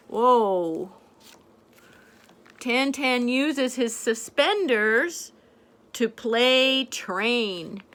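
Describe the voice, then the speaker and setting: Playful grandmother reading a picture book. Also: sits high. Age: older. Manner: gentle, playful, pointing out the pictures. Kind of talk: storybook read-aloud to a child.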